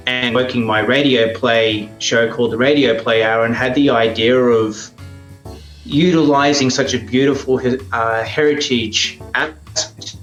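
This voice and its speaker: A man's voice: mid-range voice, a bit bright. Man in a roomy, echoing space. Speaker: interview guest, radio play performer. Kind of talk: casual interview chat. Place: indoors at a heritage house.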